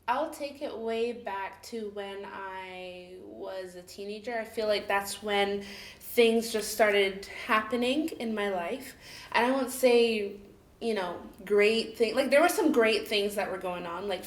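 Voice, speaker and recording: A woman from Cameroon who grew up in the UAE, a guest telling her life story in a casual, chatty way, full of "like" and "you know" and quick laughs. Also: medium pitch. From Cameroon, raised in the UAE. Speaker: interview guest. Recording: echoey room.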